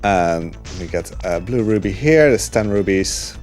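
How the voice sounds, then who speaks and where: A man with a fairly low voice explains his speedrun as he plays. He talks in an easygoing, chatty way, with frequent "uh"s between thoughts. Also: unhurried, soft background music. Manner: relaxed, chatty, many fillers. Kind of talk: live gameplay commentary.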